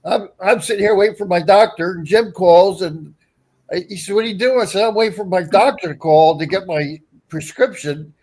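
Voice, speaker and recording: A man with a high-pitched voice chats casually on a livestream. He rambles, repeats himself and talks bluntly, swearing as he goes. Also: a little fast, a little mellow, slightly rough. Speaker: livestream show guest.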